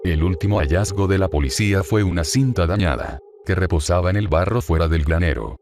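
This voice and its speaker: A man narrating a scripted true-crime horror story in a grave, measured, formal manner. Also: low-pitched, expressive, background noise.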